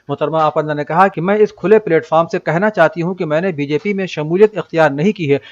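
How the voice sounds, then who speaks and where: A man reading a scripted news bulletin in a formal, measured announcer's delivery. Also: fairly high pitch, muffled recording. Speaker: news reader.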